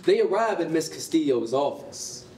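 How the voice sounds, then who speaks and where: A woman reads scripted narration with animated pitch. She is heard from a distance in an echoing rehearsal space, with faint noise. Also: moderate pace. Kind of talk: scripted narration of staged reading. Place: concrete rehearsal hall, live staged reading. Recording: roomy, distant mic, noisy rumble.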